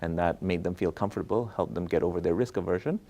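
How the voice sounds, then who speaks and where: A man's voice: low voice, fast talker, dark tone. A man from Bangladesh, an academic guest explaining research conversationally and often checking in with short tag questions. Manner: explanatory, checks in with "right?" and "okay?". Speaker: economist, professor interviewed as guest.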